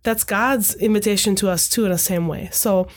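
A woman in her thirties, a podcast guest whose first language is Hungarian. She talks in a thoughtful, measured way at a mid pitch and often adds a quick "right?" to check in. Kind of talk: reflective conversational talk.

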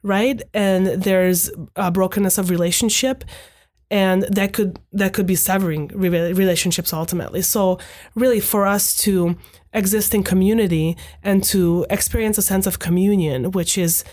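A woman's voice: medium pitch, fairly even intonation, a little mellow. Thoughtful woman, Hungarian first language. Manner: thoughtful, measured, frequent "right?" tags. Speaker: podcast guest. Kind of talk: reflective conversational talk.

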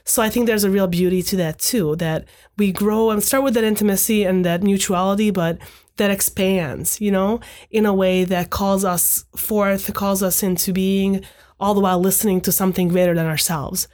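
A woman in her thirties whose first language is Hungarian, a podcast guest speaking in a measured, reflective way and often checking in with "right?". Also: mid-range voice, fairly even intonation, a little mellow. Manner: thoughtful, measured, frequent "right?" tags. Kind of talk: reflective conversational talk.

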